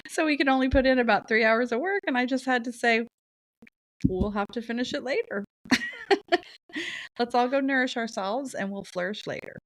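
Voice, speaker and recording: A woman's voice: on the high side, lively intonation, moderate pace, long pauses, dry recording. A woman co-hosting a podcast in casual chat, her voice stuffy and nasal from a sinus cold, talking in a chatty, rambling way with frequent laughs. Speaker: podcast co-host.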